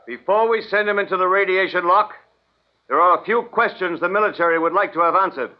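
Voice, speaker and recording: A man plays a military colonel, cold and formal. His voice sits a little high and gives clipped commands with authority, on a muffled 1950s radio drama recording. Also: fairly quick, natural voice. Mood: commanding.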